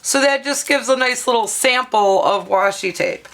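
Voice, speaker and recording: A woman with a hoarse, half-lost voice, chatting through a craft tutorial at her table and showing items with plenty of ums and uhs. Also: on the high side, moderate pace, a bit bright, poor audio. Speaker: craft vlogger. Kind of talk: casual show-and-tell craft tutorial.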